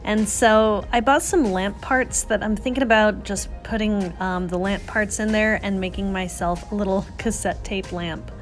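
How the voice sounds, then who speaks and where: A woman's voice: mid-range voice, fairly even intonation, normal speed, few pauses. A woman giving a casual, chatty and self-deprecating voice-over for a craft tutorial. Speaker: craft vlogger, host. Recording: music under the voice. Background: music.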